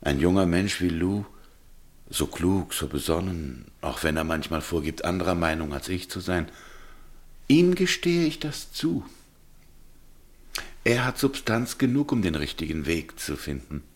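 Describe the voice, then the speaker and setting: An actor playing a proud middle-aged governor, husky and gravelly, stern but tender with his wife, in a radio play. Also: low voice, sing-song, a little slow, exaggerated voice. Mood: troubled, reflective. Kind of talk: German radio play acting.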